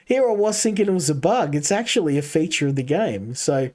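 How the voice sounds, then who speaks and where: A man's voice: fairly high pitch, animated, brisk, darkish tone. A man streaming a game, chatting casually and rambling while talking to his chat. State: coming down with a cold.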